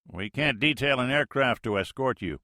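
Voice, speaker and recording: Man voicing a clipped, businesslike Navy pilot on the radio; higher than the narrator's own voice, bright. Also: animated, normal speed, dry recording, clean studio sound. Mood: terse.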